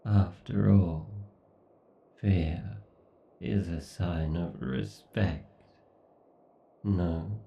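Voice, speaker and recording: A man voicing an arrogant, obsessed, sadistic AI god in a horror roleplay, pompous and menacing, with theatrical pauses. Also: deep voice, gravelly, echoey room, recorded from a distance, lo-fi sound, heavily acted. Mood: smug, possessive. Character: obsessed, sadistic, arrogant godlike male AI villain. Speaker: voice actor. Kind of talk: horror ASMR roleplay monologue.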